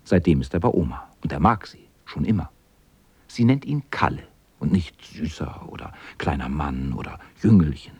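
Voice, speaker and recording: A man narrating a children's radio play as a calm storyteller with a husky voice. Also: rumbling low, moderate pace, no room sound, heavily acted. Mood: storytelling.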